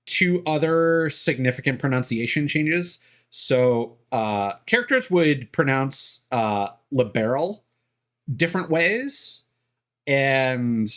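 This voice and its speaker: Male streamer, unhurried, filler-heavy casual commentary at a desk mic. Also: medium pitch, a bit bright. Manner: rambling, many fillers.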